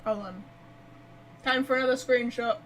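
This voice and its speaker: A woman's voice: a little high, fairly even intonation, normal speed, fairly bright tone, poor audio. A woman giving casual let's-play commentary as a gaming streamer, reacting bluntly and with some swearing.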